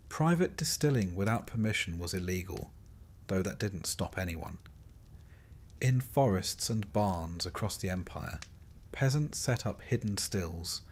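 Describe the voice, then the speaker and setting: A man narrating a scripted history story in a measured storytelling way, with dry, wry understatement. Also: on the low side, darkish tone.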